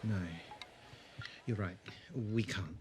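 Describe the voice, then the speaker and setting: A man's voice: lowish voice, slow, loud music behind the voice. A man acting a resolute, grave leader of adventurers in a fan audio drama. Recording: clear background noise and ambience.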